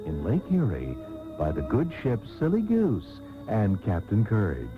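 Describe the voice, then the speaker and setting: An actor playing a grandiose soap opera narrator, mock-solemn and florid, in a parody radio serial. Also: male voice, on the low side, wildly swooping pitch, measured pace. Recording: tinny taped radio broadcast with music.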